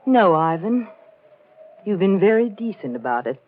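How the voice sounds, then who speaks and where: A woman's voice: medium pitch, sing-song, moderate pace, natural voice. Lilting, genteel 1940s radio heroine a woman acting. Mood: polite, curious. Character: genteel, unsuspecting woman posing for a sculptor. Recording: muffled, noisy 1940s radio recording.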